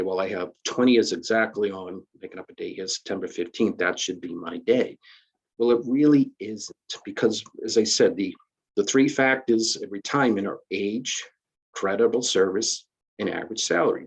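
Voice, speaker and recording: Husky-voiced man in his fifties explaining. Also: lowish voice, low-quality recording. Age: 50s. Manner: conversational, many restarts and fillers, explains step by step. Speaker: retirement system administrator. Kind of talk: casual explanatory presentation. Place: virtual benefits fair call. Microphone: video call.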